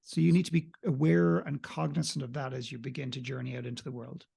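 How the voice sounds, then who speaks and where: An Irish man in his forties talks earnestly, his voice gravelly with a husky grain. He is a guest on a video call, speaking into a desk mic from a room at home. He is animated when telling stories. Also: medium pitch, fast. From Ireland. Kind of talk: reflective interview conversation. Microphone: desk condenser mic, video call.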